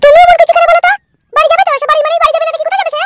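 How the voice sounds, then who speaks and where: A woman voicing an indignant, quarrelsome wife in comic cartoon dialogue, shrill and scolding. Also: flat delivery, very fast, background noise. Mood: defensive. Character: indignant, quarrelsome young wife defending her mother.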